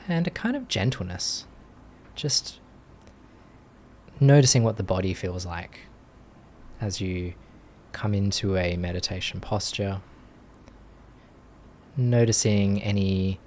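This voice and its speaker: A man leading a guided meditation as a teacher, speaking gently in short phrases with long pauses. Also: lowish voice, a little hiss or hum. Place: live online group session.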